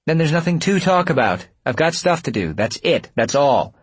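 A man's voice: mid-range voice, lively intonation, moderate pace, husky, no echo, pitched above the performer's own voice. Audiobook role: male narrator as harried young woman restaurant owner, impatient and snappish, voice lifted well above his own. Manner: impatient, clipped, defensive.

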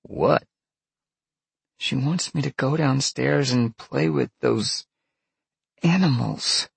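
Male narrator as distressed young shapeshifter woman, pitched well up, husky, protesting, defiant, for an audiobook. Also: takes it slowly, full character voice. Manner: pleading, protesting. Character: upset, defiant young female fox shapeshifter. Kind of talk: audiobook character acting.

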